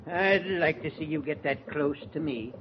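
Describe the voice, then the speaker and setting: An actor playing a defiant, hot-tempered old fishing boat captain, blustering and scornful, in a 1940s radio drama. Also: male voice, on the high side, normal speed, lightly acted. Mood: furious defiance. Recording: muffled 1940s radio recording, heavy hiss.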